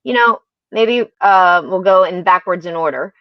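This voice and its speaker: A woman's voice: on the low side, normal speed, no room sound, dull audio. A woman hosting a conversational podcast interview, affirming her guests with frequent murmurs of agreement. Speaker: podcast host.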